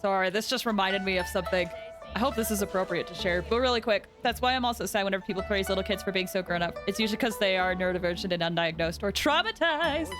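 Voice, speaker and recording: A woman's voice: medium pitch, quick pace. A woman livestreamer giving casual, chatty, rambling reactions to a video game. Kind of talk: casual game stream commentary. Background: game music.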